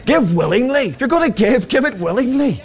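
A man's voice: extremely high pitch, very animated, a little fast, a little mellow. A man, preaching a sermon as pastor, passionate and rhetorical with humorous asides. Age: 40s.

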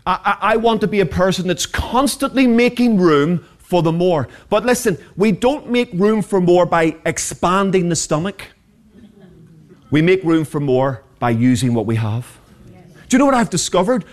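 Man, 40s, high voice, very animated, passionate rhetorical preaching. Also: normal speed. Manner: passionate, rhetorical, humorous asides. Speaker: pastor. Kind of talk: sermon.

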